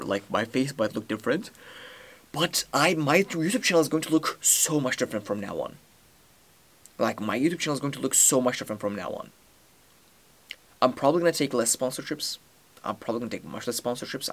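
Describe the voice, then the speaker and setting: A man's voice: mid-range voice, animated, a little fast, vocal fry, a bit bright. A young man from Turkey, a native Turkish speaker, hosting a solo podcast in a determined, earnest way with many fillers and restarts. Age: young adult. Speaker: podcast host.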